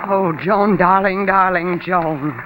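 A possessive, shrill jealous woman. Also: fairly even intonation, takes it slowly, fairly bright tone, soft background music, poor audio. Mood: pleading. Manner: clinging, then shrill and accusing. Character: possessive, jealous woman guarding her sister. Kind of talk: 1940s melodrama acting.

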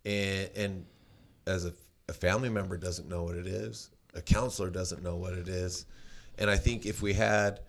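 A man with a very low, deep voice talks loudly and casually. He rambles through stories, restarting his sentences and leaning on fillers as he goes. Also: steady tone, normal speed, hardly any pauses. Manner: loud voice. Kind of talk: casual conversation, storytelling.

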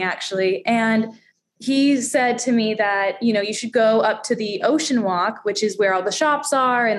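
Young woman from Florida, chatty, bubbly guest, pitched a bit high, faint hiss under her. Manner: chatty and bubbly, laughs often. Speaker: radio host and steel drum player. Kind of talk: casual interview chat.

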